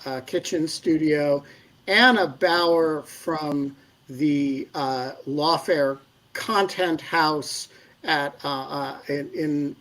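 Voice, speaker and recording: A man's voice: on the high side, a bit bright, low-quality recording. A man hosting a live legal discussion from a university studio, in a loose, joking way with many fillers. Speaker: host.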